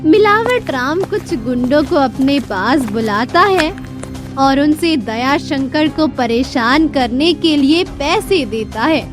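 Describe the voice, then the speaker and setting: High-voiced woman narrating a slow story. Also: lively intonation, music in the background. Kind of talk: scripted storytelling narration for a children's moral tale.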